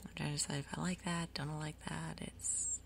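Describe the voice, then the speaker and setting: A woman speaks very low and softly, with a whispery edge and plenty of vocal fry. She narrates her gameplay casually in an ASMR style, unhurried and thinking aloud, with little movement in pitch. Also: little pitch movement, a little hiss or hum. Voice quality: soft, whispery, breathy undertone. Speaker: gaming video host. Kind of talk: soft-spoken ASMR Minecraft gameplay commentary.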